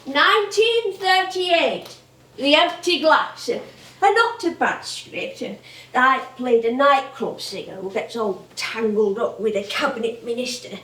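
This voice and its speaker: Old northern english variety comedienne, chatty n nostalgic, lively up and down voice, echoey stage recording. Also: female voice, sits high, a little slow, a little hiss or hum. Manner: rambling, exclamatory storytelling. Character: veteran comedienne, chatty, nostalgic older music-hall woman. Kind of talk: theatrical stage monologue and dialogue. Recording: echoey theatre stage from far off.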